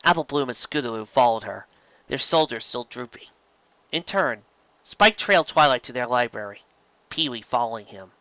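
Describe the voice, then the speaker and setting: A man's voice: mid-range voice, sharp tone, no echo, lo-fi sound. A man reading a scripted fantasy story aloud as its narrator. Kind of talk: scripted narration of fan fiction.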